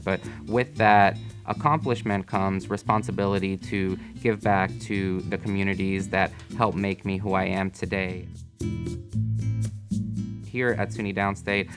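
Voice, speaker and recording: Calm low-voiced man, even delivery. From United States (Miami, Florida). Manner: calm, measured, with occasional fillers. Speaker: emergency physician. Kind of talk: interview testimonial.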